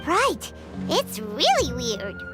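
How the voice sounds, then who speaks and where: A woman voicing a tiny, excitable, childlike companion in an anime-style video game. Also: high voice, slow pace, exaggerated voice. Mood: puzzled. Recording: game music behind the voice.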